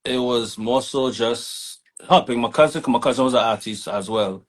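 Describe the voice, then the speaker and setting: A man's voice: mid-range voice, normal speed. A man from Trinidad and Tobago with a Trinidadian accent, an artist manager telling his story in a laid-back, easygoing way. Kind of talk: casual interview chat.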